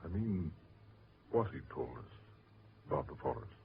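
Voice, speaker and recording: An actor playing a genteel, credulous British gentleman, earnest and a little hesitant, in a 1940s radio drama. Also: low voice, very animated, moderate pace, creaky, exaggerated voice. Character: genteel, credulous Englishman fascinated by eerie legends. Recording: noisy, tinny 1940s radio recording.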